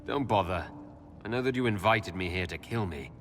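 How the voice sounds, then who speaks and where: An actor playing a defiant, earnest and direct heroic envoy in a fantasy video game. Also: male voice, lowish voice, lively intonation, moderate pace, fairly bright tone. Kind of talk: dramatic video game acting. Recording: long hall reverb, music behind.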